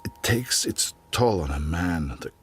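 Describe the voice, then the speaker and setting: An actor playing a grave, weary middle-aged soldier, narrating solemnly and with measure in a dramatic game. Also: male voice, low voice, expressive, unhurried, faint music behind. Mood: grieving, reflective. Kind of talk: scripted dramatic narration, letter-like.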